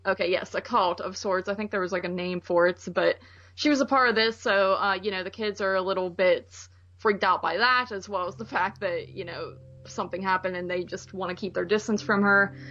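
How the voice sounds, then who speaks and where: A woman's voice: medium pitch, steady tone, brisk, a bit bright, dull audio. Casual woman podcaster over music. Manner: informal, rambling explanations. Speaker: movie podcast co-host. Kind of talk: casual film discussion.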